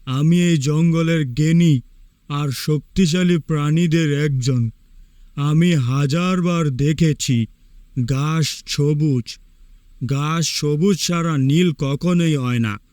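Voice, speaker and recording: A man's voice: on the high side, slow, darkish tone, dry recording. A man playing a proud, indignant tiger in a cartoon fable, scolding with emphasis. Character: proud, indignant male tiger, wise and powerful.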